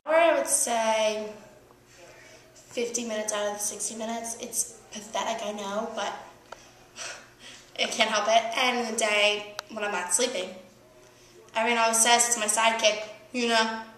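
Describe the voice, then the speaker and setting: A woman's voice: fairly high pitch, steady tone, unhurried, long pauses, a bit bright, reverberant. A nineteen-year-old woman, a college student, chatting in an interview and laughing as she answers. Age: teens. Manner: chatty, laughs often, says um and like.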